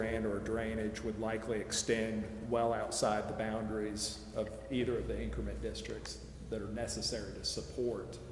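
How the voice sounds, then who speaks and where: A man explaining details at a public meeting in a measured, qualifying way. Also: fairly low pitch, a little mellow. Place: large echoey meeting room, council chambers. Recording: echoey hall, background hiss.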